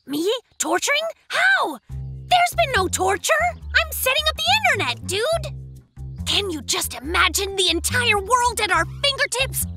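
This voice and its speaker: A performer voicing an excitable, boastful young polar bear in a children's cartoon, exclamatory and full of slang. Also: very high-pitched, a little slow, over music, heavily acted. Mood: brash, argumentative. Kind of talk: children's cartoon dub acting.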